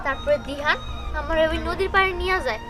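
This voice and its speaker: A woman's voice: high-pitched, quick pace, over music, close to an everyday voice. A woman voicing a chatty, helpful young village girl who explains things reassuringly in a Bengali cartoon. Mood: eager explaining.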